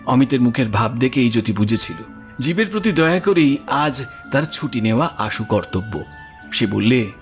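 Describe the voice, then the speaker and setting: A man narrating a literary story in an expressive, scripted audio drama style. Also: medium pitch, moderate pace. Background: music.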